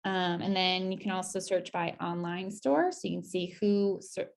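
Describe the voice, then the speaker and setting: A woman with a slightly low, noticeably creaky voice walks listeners through a website demo. Her delivery is casual and conversational, with informal, upbeat asides as she explains each step. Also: flat delivery, normal speed. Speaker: presenter giving a website demo. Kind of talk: casual screen-share walkthrough.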